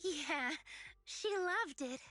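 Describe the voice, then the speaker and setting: An earnest, cheerful young woman explorer from an anime game. Her voice is high and bright, and she speaks unhurriedly, with a dreamy, ambitious streak. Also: lively intonation, very slow, dry recording, exaggerated voice. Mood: friendly, casual.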